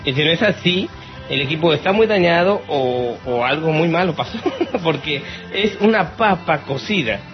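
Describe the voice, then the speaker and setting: A Mexican man with a fairly high voice hosts a casual listener Q&A podcast. He talks in a playful, theatrical manner. The sound is slightly dull and lo-fi, with soft music playing behind him. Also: animated, moderate pace. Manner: theatrical, mock-exasperated, playful. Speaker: podcast host.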